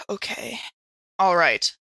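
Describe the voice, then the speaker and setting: A woman's voice: medium pitch, sing-song, takes it slowly, vocal fry, bright, forceful tone, no room sound, poor audio. A woman chatting casually as a player improvising in a tabletop role-playing game.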